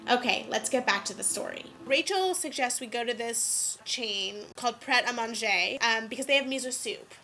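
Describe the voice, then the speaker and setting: A woman hosting a podcast, congested from a cold, chatting and explaining in a clear, casual way. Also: on the high side, lively intonation, moderate pace, few pauses, bright, forceful tone, a little hiss or hum, poor audio. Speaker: podcast host. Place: indoors.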